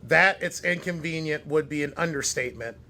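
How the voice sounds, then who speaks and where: A man's voice: on the high side, brisk, bright, forceful tone. A man hosting a casual livestream interview, asking playful, teasing questions. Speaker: livestream host.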